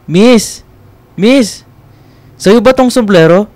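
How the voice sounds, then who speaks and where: A man's voice: sits high, animated, takes it slowly, darkish tone, no room sound. A man playing a polite, shy and friendly young Filipino man in a radio love drama, hesitant and apologetic.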